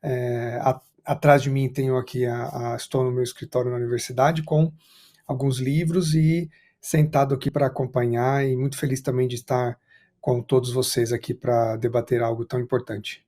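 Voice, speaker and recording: A man's voice: medium pitch, flat delivery, moderate pace, warm tone. A middle-aged male professor speaking hesitantly, with many fillers, from his university office over a video call. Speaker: professor and researcher.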